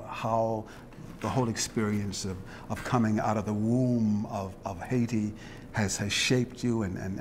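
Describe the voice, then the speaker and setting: A low-pitched man leads a reflective interview, weighing his words and pausing often to restart his sentences. A faint hiss sits under the recording. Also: darkish tone. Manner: thoughtful, halting, many restarts and fillers.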